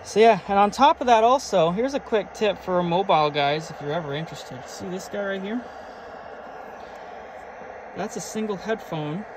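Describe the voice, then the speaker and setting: A man's voice: high voice, normal speed. A man giving a casual, enthusiastic walkthrough as a hobby vlogger, speaking informally while showing his gear. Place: in and around a truck. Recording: clear vehicle and ambient noise.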